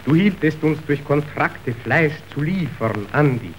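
An actor playing a formal messenger from the stock exchange, declaiming verse in a radio drama. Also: male voice, fairly high pitch, expressive, a little slow, muffled recording, a little hiss or hum. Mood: grave, formal.

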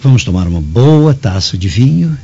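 An actor playing a wheedling, amorous Roman husband in a radio drama, placating and coaxing. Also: male voice, medium pitch, very animated, normal speed, dark tone, breathy edge. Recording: muffled lo-fi radio drama, music underneath.

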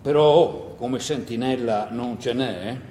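A man's voice: medium pitch, unhurried, mellow, lightly acted. An actor playing a rambling, coaxing country man in a theatrical radio monologue, hesitating and repeating himself as he urges someone on. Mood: coaxing, insistent. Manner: hesitant, repetitive, coaxing, full of asides. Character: wheedling, rambling country man urging a young partisan to hide. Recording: echoey hall, long reverb, faint noise.

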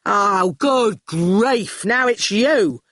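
A woman's voice: mid-range voice, animated, unhurried, a bit bright, no echo, clean studio sound. Woman acting a brash, bellowing female gang boss. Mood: angry. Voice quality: growling, bellowing. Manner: colloquial, brash, barking orders. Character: loud, bossy, hot-tempered woman gang boss.

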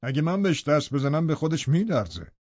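A man's voice: a little high, very animated, darkish tone, dry recording, lo-fi sound. A man acting a menacing, sardonic, domineering father. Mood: impatient, sardonic. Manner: commanding, sardonic, barely contained anger. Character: menacing, domineering, sneering father, falsely hospitable villain. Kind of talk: audiobook drama acting.

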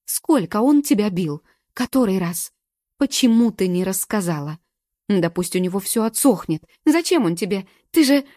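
A woman's voice: lowish voice, moderate pace, husky, no room sound, clean studio sound. Woman doing a fierce, furious young witch. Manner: forceful, indignant.

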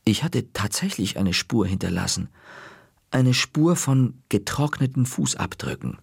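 Gravelly, expressive male storyteller. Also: on the low side, a little slow, dry recording, studio quality. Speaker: narrator. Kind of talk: scripted narration of a children's story.

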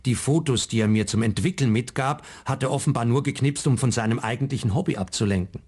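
An actor playing an embarrassed grown son in a radio play, with a husky voice. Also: male voice, medium pitch, fast, darkish tone, lightly acted. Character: embarrassed adult son of a dead old man.

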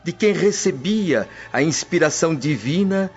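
An actor playing a humble, devout freed slave preaching earnestly to a crowd of Christians. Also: male voice, on the high side, animated, measured pace. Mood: solemn. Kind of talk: radio drama acting. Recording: dull audio with soft music.

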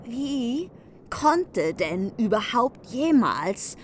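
A woman's voice: on the high side, big pitch swings, very slow, a little hiss or hum, heavily acted. A woman playing a proud, defiant noblewoman of legend in a radio play, with formal, poetic speech. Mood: scornful, defiant.

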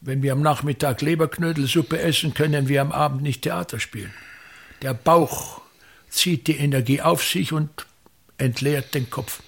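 A pompous old theatre director holds forth in a radio play, grandiloquent and forever complaining. His voice sits a touch high for a man, with a gravelly rasp and a husky, breathy edge. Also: normal speed. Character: pompous aging male touring theatre director. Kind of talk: radio drama acting.

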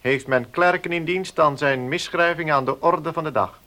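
An actor playing a formal, cautious village notary in a radio play, speaking in a measured, officious manner. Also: male voice, fairly high pitch, bright, forceful tone. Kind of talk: Dutch radio play acting.

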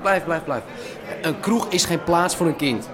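An actor playing a gruff, indignant absent father in a radio drama, blustering and repeating himself. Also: fairly high pitch, unhurried, natural voice. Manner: blustering, repetitive. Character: gruff, hypocritical absent father, thirsty pub regular. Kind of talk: naturalistic radio drama dialogue. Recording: loud pub crowd and music.

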